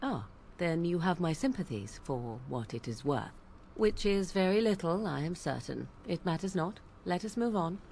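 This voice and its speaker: Sardonic young witch, lowish lively voice, archaic formal diction, fantasy game, noisy capture. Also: normal speed. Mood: dismissive. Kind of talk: fantasy video game voice acting.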